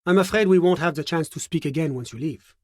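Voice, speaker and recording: An actor playing a polite, evasive diplomatic official in a video game, speaking in a formal, measured, officious manner. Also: male voice, a little high, no echo, clean studio sound, natural voice. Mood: polite, genial. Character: polite, evasive, fastidious male diplomatic bureaucrat. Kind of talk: video game character voice acting.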